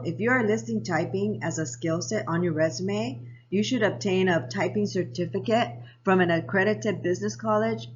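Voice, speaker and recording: A woman of around 60, raised in Japan and Hawaii, narrating her own life story for a vlog in a measured, read-aloud way. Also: fairly low pitch, muffled recording. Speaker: vlogger. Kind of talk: scripted personal narration.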